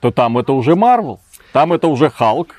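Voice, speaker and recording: A man adding casual comments and agreeing in a relaxed chat. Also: mid-range voice, a little fast, dry recording.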